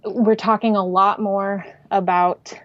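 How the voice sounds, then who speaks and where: A reflective woman guest talks through her thoughts in an unhurried way, pausing on fillers. Her voice sits at an everyday pitch and comes across dry, with no room sound. The recording is a little dull and muffled. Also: level tone, dry recording. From Pacific Northwest, United States. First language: Swedish. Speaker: podcast guest, writer and artist. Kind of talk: casual interview conversation.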